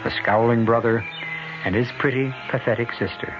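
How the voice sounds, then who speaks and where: A man hosting and narrating a radio drama in a measured, scripted storyteller delivery. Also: mid-range voice, fairly even intonation, music in the background. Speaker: host and narrator. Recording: dull old radio broadcast recording.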